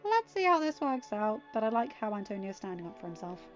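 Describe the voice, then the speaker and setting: A woman's voice: medium pitch, sing-song, a little fast, lo-fi sound. A woman reading as a podfic storyteller. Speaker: podfic narrator. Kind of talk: scripted story narration. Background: faint music.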